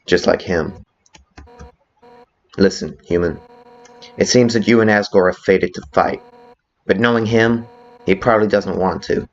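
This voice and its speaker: A man voicing a brash, fierce female fish warrior with a soft side in a video game fan dub, blunt and cocky. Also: low voice, unhurried, pauses often, muffled recording. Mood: frank, persuading.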